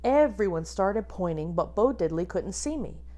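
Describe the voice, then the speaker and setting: A woman reading a children's book aloud expressively, like a teacher, pausing to instruct and put questions to young listeners. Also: mid-range voice, expressive, dark tone. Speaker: music teacher reading a picture book to pupils.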